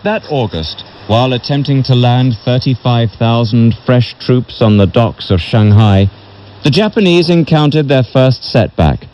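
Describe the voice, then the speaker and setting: Mellow male narrator on dull shortwave radio. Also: mid-range voice, lively intonation, a little slow, slight background noise. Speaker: audiobook narrator. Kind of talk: scripted literary history narration.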